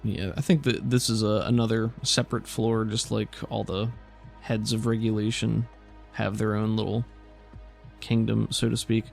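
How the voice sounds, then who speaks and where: A man's voice: lowish voice, little pitch movement, moderate pace, gravelly, a little mellow, faint music behind. A man working as game master, narrating an improvised tabletop roleplaying game in a hesitant, conversational way.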